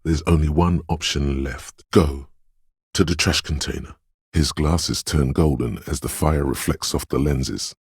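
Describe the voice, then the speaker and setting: An eerie, brooding voice of intuition from a video game, extremely deep and very husky. It delivers cryptic, ominous narration slowly and intimately, with dramatic pauses, in a close, dry sound. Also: male voice, steady tone, no echo, heavily acted. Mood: ominous, mystical. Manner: slow, hushed, ominous, cryptic second-person pronouncements with dramatic pauses.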